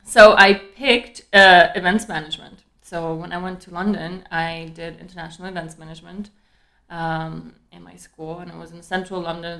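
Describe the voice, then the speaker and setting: Ukrainian accent young woman podcast host, bright voice, laughs a lot, lots of ums. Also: on the low side, vocal fry. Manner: laughs often, chatty with fillers. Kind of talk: casual chat.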